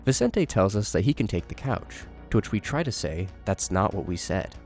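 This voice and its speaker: Low-voiced man narrating over music. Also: sing-song, moderate pace, darkish tone. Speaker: horror series commentator. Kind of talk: scripted narration and commentary.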